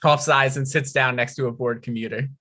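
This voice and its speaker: A man with a bright, forward voice hosts a comedy table read. He narrates screen directions and talks informally to introduce the material. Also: medium pitch, fairly even intonation, brisk. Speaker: table read host, stage-direction reader and performer.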